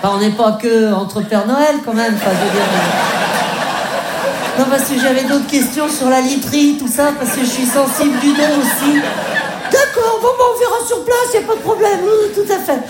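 A woman plays a naive, whiny young man calling his mother, rambling and stumbling over fillers in a high voice. The sound is echoey from a live stage, with audience noise behind. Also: normal speed, a loud crowd, lightly acted. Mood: chatty. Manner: rambling, hesitant, full of fillers. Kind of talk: stand-up character sketch.

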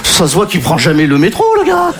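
A man's voice: on the high side, huge pitch swings, fairly quick, a bit bright. A male comedian performing a topical stand-up monologue in a chatty, teasing, mock-worried way. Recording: noisy live stage recording.

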